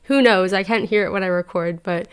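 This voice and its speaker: Smooth-voiced young woman hosting a podcast. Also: medium pitch, a little fast. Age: 20s. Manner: earnest, chatty and reflective. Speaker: podcast host. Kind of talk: solo podcast monologue giving life advice. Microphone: podcast microphone.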